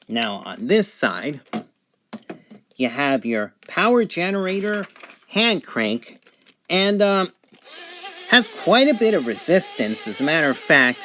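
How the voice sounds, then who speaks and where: Slow-talking man reviewing a gadget. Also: medium pitch, fairly bright tone, a little hiss or hum. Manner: halting, pausing between short phrases. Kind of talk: unscripted product review, describing features on camera.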